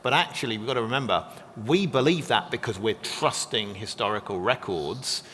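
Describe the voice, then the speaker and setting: A man being interviewed as a guest scholar, explaining history quickly and in a friendly way. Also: mid-range voice, a bit bright. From not from Texas. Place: church classroom or hall. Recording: long hall reverb with faint noise.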